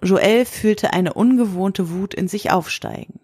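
Husky woman narrating an audio drama. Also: medium pitch, unhurried, darkish tone, no room sound, studio quality.

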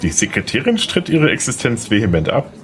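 A man's voice: mid-range voice, sing-song, fairly quick, fairly bright tone. A man playing a polite, slightly unsure and charming young lawyer in an audio drama. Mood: friendly, charming. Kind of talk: German audio drama dialogue.

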